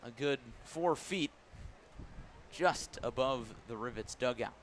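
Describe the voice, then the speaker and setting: A man's voice: mid-range voice, takes it slowly, a little hiss or hum. An American man giving live radio play-by-play of a baseball game as the announcer. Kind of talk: live radio sports commentary.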